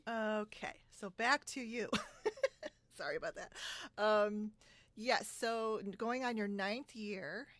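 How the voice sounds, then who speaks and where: A woman's voice: mid-range voice, very animated, slow pace, fairly bright tone, no echo. A woman hosting a casual podcast interview from a room at home, chatty and giggly, on a video call. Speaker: podcast host.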